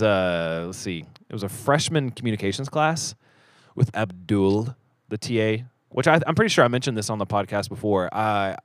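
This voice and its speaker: A man with a fairly low voice, recorded dry and close, hosts a casual podcast. He rambles in a joking, self-deprecating way. His sentences tend to trail off into a mumble. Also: no echo. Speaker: podcast host.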